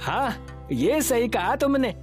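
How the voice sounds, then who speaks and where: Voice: medium pitch, big pitch swings, slow pace, voice pushed up. A narrator playing a humble, worried, earnest male South Indian dosa street vendor in a cartoon story, often ending phrases with a respectful tag. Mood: hopeful. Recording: music behind the voice.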